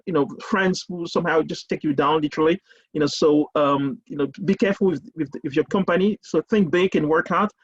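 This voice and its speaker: Husky, halting man on a muffled call. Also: on the high side. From Cameroon. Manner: halting, many repeated words and fillers. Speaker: university lecturer guest. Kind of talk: casual panel discussion. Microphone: video call. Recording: muffled lo-fi video call audio.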